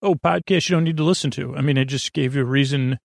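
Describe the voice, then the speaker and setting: A man hosting a sleep podcast in a meandering, halting monologue that keeps drifting off topic, with a gravelly, creaky voice. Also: medium pitch, no echo, studio quality. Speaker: podcast host.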